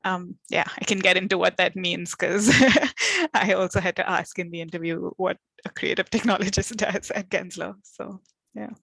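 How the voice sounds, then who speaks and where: A young woman with an Indian English accent introduces herself in a casual way. Her voice sits slightly low, and the sound is close and dry. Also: moderate pace, gravelly, fairly bright tone, husky, no room sound, poor audio. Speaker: alumni panelist.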